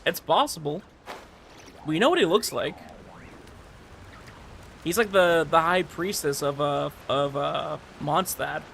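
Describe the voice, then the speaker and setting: A man streaming a game and chatting casually, rambling and excitable, repeating himself for emphasis. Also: a little high, slow pace, vocal fry, long pauses, a little hiss or hum. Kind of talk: casual livestream chat about a game. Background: faint music.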